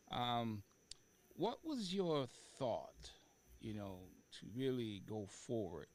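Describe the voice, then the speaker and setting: Male talk show host, halting delivery. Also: lowish voice. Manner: halting, repeats words for emphasis. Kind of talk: casual interview talk. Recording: faint background noise.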